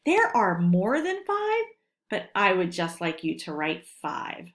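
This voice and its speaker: A woman teacher reading a lesson aloud to children, speaking deliberately and instructively and stressing key words. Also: fairly low pitch, wildly swooping pitch, a bit bright. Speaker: elementary school teacher.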